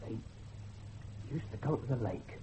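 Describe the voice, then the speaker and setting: An actor playing a frightened rustic villager in a horror audio drama, telling of dark things in a dramatic, fearful way. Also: male voice, mid-range voice, animated, measured pace, close to an everyday voice. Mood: brooding, bitter. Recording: muffled lo-fi recording with faint noise.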